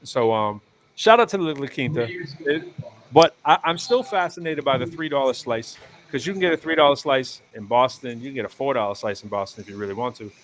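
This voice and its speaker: A man's voice: medium pitch, fairly quick, a little hiss or hum. Rambling, chatty man hosting a live show. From United States East Coast. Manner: rambling storyteller with many fillers and restarts. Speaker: show host. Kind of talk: casual live-stream chat. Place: hotel area in New York City.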